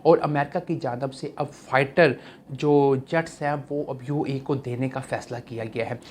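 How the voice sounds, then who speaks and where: A man's voice: mid-range voice, fairly even intonation, a little slow. A man with a Pakistani accent hosting news commentary, explaining things to viewers in a repetitive way. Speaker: news commentary channel host. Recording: clear background noise, ambient sound.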